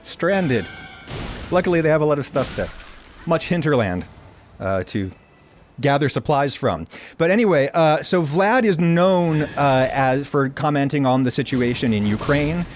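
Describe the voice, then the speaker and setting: A man's voice: fairly high pitch, lively intonation, a little slow, a little hiss or hum. An American man hosting a podcast interview, thinking aloud with a lot of ums and uhs. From United States. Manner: rambling, thinking aloud with many fillers. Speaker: podcast host.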